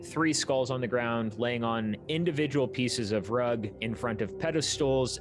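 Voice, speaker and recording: A man narrating a tabletop game as game master in an informal, descriptive manner. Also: mid-range voice.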